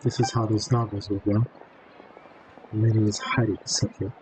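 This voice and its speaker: A man's voice: fairly low pitch, little pitch movement, moderate pace, background noise. Man tossing offhand asides while gaming. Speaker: gamer playing through a quest. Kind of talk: casual commentary over gameplay. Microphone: built-in mic.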